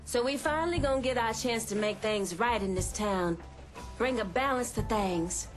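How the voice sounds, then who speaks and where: An actress playing a hopeful crime lieutenant in a game drama, speaking in a reflective, earnest way. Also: female voice, a little high, moderate pace, faint music behind, close to an everyday voice. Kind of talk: dramatic video game acting.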